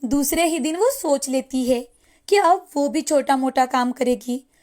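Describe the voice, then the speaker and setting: A woman's voice: high voice, a little slow, a little mellow. A woman narrating a scripted moral story as a storyteller. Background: music.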